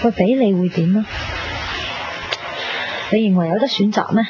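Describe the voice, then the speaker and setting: A woman's voice: mid-range voice, moderate pace, background noise, lightly acted. An actress playing a bold, stubborn young woman in a 1990s Hong Kong radio drama, speaking colloquial Hong Kong Cantonese with sighs and exclamations. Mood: impatient, bantering. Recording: muffled 1990s radio broadcast with music.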